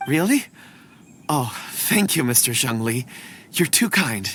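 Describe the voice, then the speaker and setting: An actor in a video game voicing an anxious, absent-minded wanderer who speaks politely and haltingly. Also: mid-range voice, very animated, fairly bright tone, a little hiss or hum. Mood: grateful. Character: anxious, absent-minded, soft-spoken wanderer with faulty memory.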